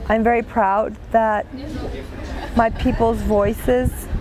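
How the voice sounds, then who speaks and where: A woman giving thoughtful, measured interview answers. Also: on the high side, rough recording.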